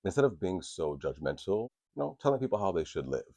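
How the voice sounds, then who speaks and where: Very deep husky man, candid and rambling. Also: big pitch swings, fast talker, mellow, dry recording, clean studio sound. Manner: candid, profane and rambling, with many restarts. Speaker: podcast host. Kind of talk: casual podcast talk.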